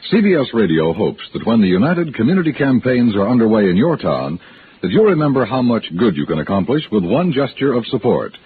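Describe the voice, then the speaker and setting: A man's voice: mid-range voice, sing-song, a little fast, a little hiss or hum. An American man reading scripted announcements in a 1950s radio announcer's style. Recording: tinny vintage radio broadcast with music.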